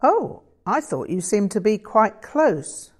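A frank, sociable woman from a novel , put on by a woman narrator. She is inquisitive and teasing with firm opinions, her pitch a little raised over the narration. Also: very animated, takes it slowly. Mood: curious. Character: Cynthia, sociable woman friend, frank, curious, amused.

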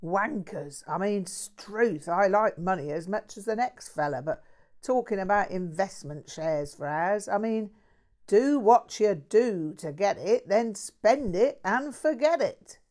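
A woman's voice: mid-range voice, lively intonation, measured pace, darkish tone, pitched above the performer's own voice, natural voice. A woman voicing a crude bloke. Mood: ranting. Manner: swearing, slangy, ranting. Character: crude brash working-class London man.

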